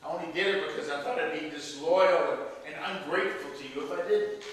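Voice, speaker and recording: A man's voice: fairly high pitch, lightly acted. An actor playing an exasperated, dutiful middle-aged son, arguing defensively with his father in a stage play. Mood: defensive, hurt. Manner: exasperated, halting when defensive. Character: aggrieved, dutiful grown son of elderly father. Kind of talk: one-act stage play dialogue. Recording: echoey hall from far off, faint noise.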